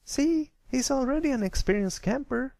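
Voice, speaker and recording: Friendly young pony filly, male narrator acting, high, animated, enthusiastic and persuasive, for cartoon storybook. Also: moderate pace, gravelly, dry recording, full character voice. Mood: cheerful.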